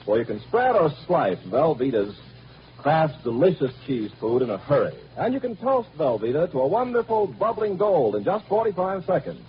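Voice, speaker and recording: Announcer for a 1940s radio ad, American male, persuasive and polished, animated reading, dull old broadcast sound. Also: fairly high pitch, moderate pace. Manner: polished, persuasive sales delivery. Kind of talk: 1940s radio advertisement read. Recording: noisy, muffled 1940s radio recording.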